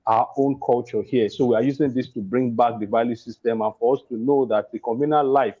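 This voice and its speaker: A man's voice: mid-range voice, steady tone, a little fast, dark tone, husky, dull audio. A Nigerian man, a playwright and producer, talking over a video call, hesitant with many fillers and restarts.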